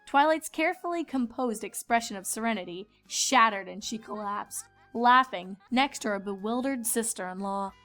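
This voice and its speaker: A woman's voice: on the high side, normal speed, hardly any pauses, a bit bright, soft background music. A woman reading scripted narration as a storyteller.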